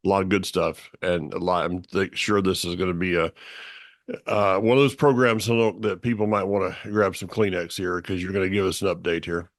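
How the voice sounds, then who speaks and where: A man with a low-pitched voice hosts a news talk programme. His delivery is casual and conversational, and the sound is dry with little room around it. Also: steady tone, brisk, no room sound. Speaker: host.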